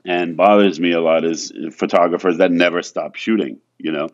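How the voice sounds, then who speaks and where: A man's voice: deep voice, fairly even intonation, normal speed, highs cut off. A man from France, a photographer, talking casually and hesitantly as an interview guest, with many restarts. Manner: soft-spoken, hesitant, many restarts and "you know".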